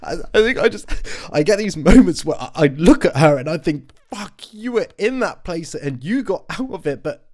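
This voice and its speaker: A male actor playing an anxious, overwhelmed young British father, confiding haltingly and apologetically in a naturalistic audio drama. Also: sits high, animated. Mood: tearful, overwhelmed.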